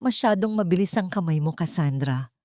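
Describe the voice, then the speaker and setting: A woman's voice: lowish voice, expressive, normal speed, mellow, dry recording, lightly acted. Woman storyteller playing composed female billionaire, cold, cutting delivery, drama story, dry recording. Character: cool, composed wronged woman billionaire confronting her betrayer.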